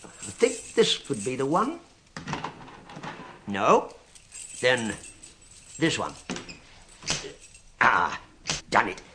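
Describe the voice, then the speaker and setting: A man acting in a radio drama as a wry, casual, quietly probing visitor with a British accent. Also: mid-range voice, huge pitch swings, drawn-out words, pauses often, background noise, exaggerated voice. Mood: wry, joking.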